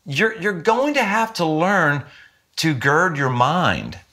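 A man in his fifties, a senior pastor, talking earnestly and emphatically in a seated interview in a living room. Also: slow pace. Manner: earnest, emphatic, with lively jumps in pitch when stressing points. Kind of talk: sit-down interview conversation. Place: living room with window, indoors.

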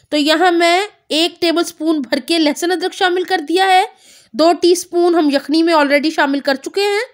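A woman narrating a home cooking recipe step by step, calmly naming each ingredient and measure. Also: extremely high pitch. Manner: calm instructive, naming measures. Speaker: cooking channel host.

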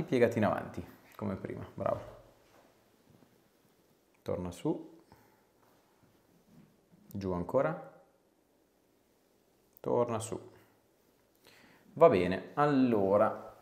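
A man, reassuring and informal as he gives a patient gentle instructions. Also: lowish voice, animated, takes it slowly, creaky, long pauses. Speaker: chiropractor. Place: small clinic treatment room. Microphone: clip-on lapel mic.

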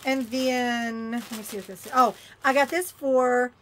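A woman's voice: fairly high pitch, steady tone, measured pace, slight background noise, poor audio. A woman chatting casually through a shopping haul on camera, exclaiming and muttering as she opens things. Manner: chatty, exclaims and mutters while fiddling with items. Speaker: vlogger unboxing a shopping haul.

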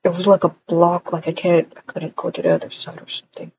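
A woman voicing a calm, quiet young man in a voiced game roleplay, speaking low and mumbled on a dull lo-fi mic. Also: quick pace, a little hiss or hum, lightly acted. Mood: uneasy. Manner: quiet, mumbled, laid-back.

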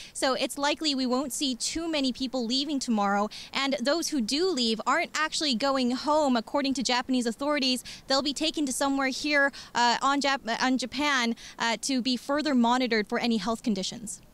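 Young woman, freelance reporter, high pitch, live outdoor report, handheld mic, measured delivery. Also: a bit bright. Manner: measured, explanatory with fillers. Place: outdoors at night by a harbor.

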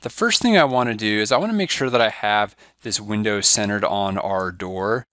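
A man giving a step-by-step software tutorial over a screen recording, walking through each click in a steady, instructive way. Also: lowish voice, normal speed, no echo, clean studio sound. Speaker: software trainer.

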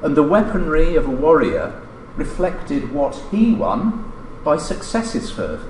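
A man reading a formal academic conference paper aloud in a measured, scholarly way, pausing mid-phrase. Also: on the high side, a little mellow. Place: indoor lecture hall, at a lectern. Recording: echoey lecture room, distant mic, background noise.

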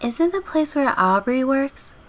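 A woman acts as a sweet young female neighbour in a life-simulation game story. The voice sits high and lilts along, chirpy and curious. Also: expressive, a little slow. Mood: friendly, helpful.

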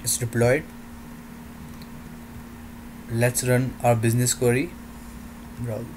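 A man narrating a software demo in halting, non-native English phrasing. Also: mid-range voice, level tone, noisy background.

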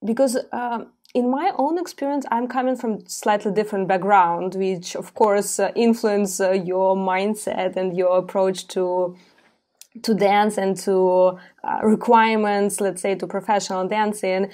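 A woman's voice: medium pitch, steady tone, a little mellow. Female podcast host, Ukrainian accent, reflective delivery that halts and restarts often. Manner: thoughtful, halting with many fillers. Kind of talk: casual interview chat.

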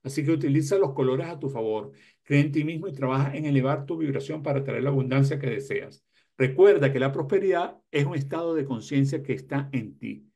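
Emphatic older man with a mellow voice. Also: medium pitch, a little fast. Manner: emphatic, repeats key words for emphasis. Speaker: self-help video host. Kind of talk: motivational advice to camera. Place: small indoor room lined with bookshelves.

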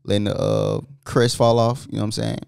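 A man's voice: fairly low pitch, fairly even intonation, brisk, creaky, a little mellow, clear, resonant tone. A man co-hosting a casual podcast, teasing his partner in a laid-back way and laughing often. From United States. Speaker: podcast co-host.

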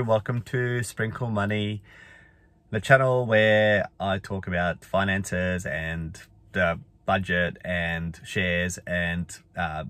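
A man, croaky from a cold, chatting casually and rambling with lots of fillers as he vlogs from inside a car. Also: sits low, a bit bright. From Australia. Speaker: finance vlogger. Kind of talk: casual talking-head vlog. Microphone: phone or camera at arm's length.

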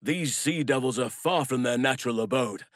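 A man playing a big, gentle, wise druid in a fantasy game, speaking in measured, formal, thoughtful phrases. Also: medium pitch, no echo, studio quality, close to an everyday voice. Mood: sombre, reflective. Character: big gentle male druid, wise, earnest nature lover.

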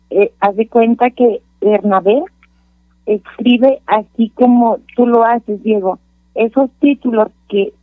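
A woman's voice: medium pitch, no echo. A woman co-hosting a radio interview, enthusiastic and full of praise. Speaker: radio co-host. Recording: dull dry lo-fi line.

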